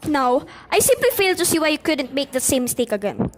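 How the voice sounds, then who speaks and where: A woman's voice: high voice, sing-song, fairly quick. A woman playing a nervous, demanding housewife in a radio play, fretful and insistent. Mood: indignant, insistent. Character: nervous, demanding invalid housewife, alone and alarmed. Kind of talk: amateur radio play acting.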